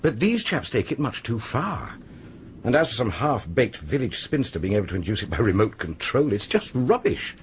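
An actor with a British accent playing a gruff, blunt, sceptical police pathologist in a radio drama, husky and brusque. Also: male voice, medium pitch, very animated, moderate pace, slight background noise, close to an everyday voice. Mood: dismissive. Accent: British English. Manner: brusque, dismissive, dry.

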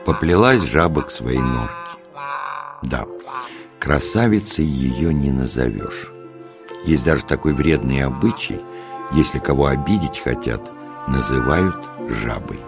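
A man narrating a scripted children's nature tale as an expressive storyteller. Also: a bass voice, measured pace, gravelly, music in the background.

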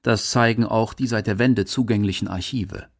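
A man's voice: on the low side, steady tone, normal speed, creaky. A man from Germany, reading scripted documentary narration.

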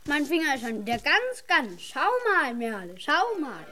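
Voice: high voice, very animated, normal speed, pushed and bright, soft background music, exaggerated voice. A young performer playing a dreamy little boy who speaks in an earnest, insistent, childlike way in a radio drama. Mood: showing off. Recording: noisy background with clicks.

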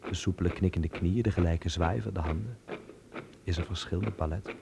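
A young Dutch filmmaker abroad, speaking low and gravelly in a radio play. He is wry, observant and measured, and the old recording is clearly noisy. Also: mellow. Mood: musing, wry. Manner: reflective, ironic, measured. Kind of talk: radio drama acting and letter narration.

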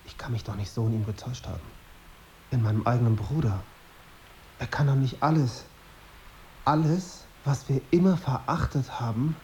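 An actor in a German radio drama playing an embittered young journalist, brooding and suspicious, with an intense, insistent manner. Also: male voice, medium pitch, normal speed, long pauses, darkish tone, a little hiss or hum, natural voice. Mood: troubled doubt. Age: young adult. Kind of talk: radio drama acting with first-person narration.

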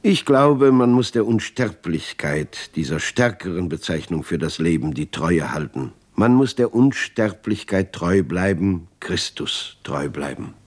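1950s radio drama: reflective, earnest male intellectual, low-voiced, delivering a diary monologue. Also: lively intonation, unhurried, hardly any pauses. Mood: earnest reflection. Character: thoughtful male Russian writer reading his philosophical diary.

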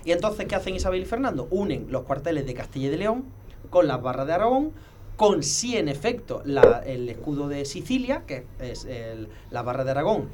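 A man, a guest expert explaining history in casual conversation, lecturing with many pauses and restarts. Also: fairly high pitch, sing-song, background noise.

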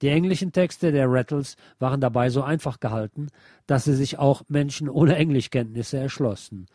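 A man's voice: mid-range voice, moderate pace, mellow, no echo, studio quality. A man presenting a scripted, lecture-like essay as a narrator. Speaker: presenter reading a radio essay.

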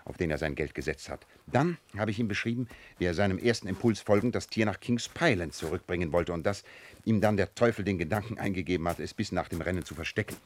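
A man's voice: low-pitched, fast. A man playing a shrewd, self-assured detective with playful irony in a German radio play. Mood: confiding, amused. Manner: theatrical, teasing, confident.

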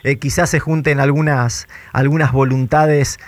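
A man's voice: mid-range voice, steady tone, a little slow, dry recording. A man with an Argentine accent interviewing a guest on the radio in a conversational style.

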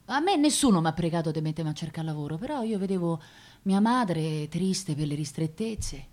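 A woman's voice: on the low side, animated, moderate pace, a little mellow. An actress playing a proud, touchy young working-class woman with a Roman accent, talking colloquially and emotionally in a radio play. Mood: plaintive, earnest. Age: young adult. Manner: colloquial, repeating words, emotional. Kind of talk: theatrical radio drama monologue.

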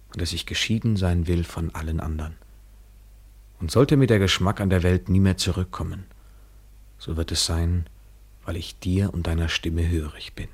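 A man's voice: deep voice, breathy edge. An actor playing a grave, devoted man in love, speaking in a poetic, solemn radio play style.

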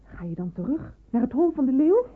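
A motherly, skeptical hospital nurse in an old radio play. She talks quickly in a high, slightly rough voice on a tinny recording. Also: very animated, heavily acted. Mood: concerned, doubtful. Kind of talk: Dutch radio drama acting.

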